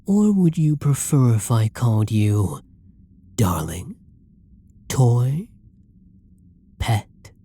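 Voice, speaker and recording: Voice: mid-range voice, big pitch swings, drawn-out words, pauses often, dark tone, heavily acted. An actor voicing a flirty, possessive villain who menaces and teases a hostage in an ASMR roleplay, domineering and often dropping into whispers. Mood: flirty, smug. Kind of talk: roleplay ASMR audio drama monologue.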